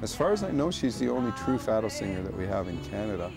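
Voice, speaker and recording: A middle-aged man speaks in a very low, plain voice outdoors. He talks with easy fillers between thoughts. Loud music runs behind him. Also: sing-song, fast, gravelly. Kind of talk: interview. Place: outdoors among trees.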